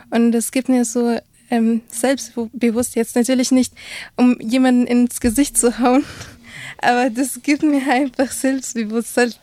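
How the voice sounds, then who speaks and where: A woman's voice: a little high, dark tone, dry recording. A young woman whose first language is Arabic, telling her own story in a discussion with frequent hesitations. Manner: hesitant, many fillers and restarts. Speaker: guest sharing her experience. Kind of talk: personal account in a discussion.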